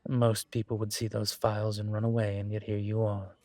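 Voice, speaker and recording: A man playing a suave incubus demon professor in a roleplay audio drama, teasing and protective, with a wry, measured delivery. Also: lowish voice, completely flat delivery, darkish tone, no room sound, close to an everyday voice. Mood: amused, relaxed.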